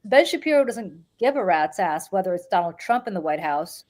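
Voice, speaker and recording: A middle-aged woman hosting a podcast in casual political chat, blunt and animated, often repeating words for emphasis. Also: lowish voice, brisk. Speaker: podcast host.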